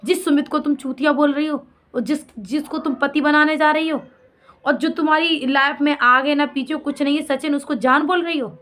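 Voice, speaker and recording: Scolding woman vlogger with a high voice. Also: steady tone, normal speed, fairly bright tone. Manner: scolding, indignant, emphatic with rhetorical questions and repetition. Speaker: vlogger commenting on a personal drama. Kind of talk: unscripted opinionated rant addressed to absent people.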